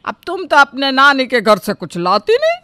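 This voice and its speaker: Woman doing a sharp-tongued mother-in-law, high-ish and bright, bossing everyone around. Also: wildly swooping pitch, normal speed, no room sound, heavily acted. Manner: scolding, commanding. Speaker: voice actor. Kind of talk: Hindi storybook drama acting.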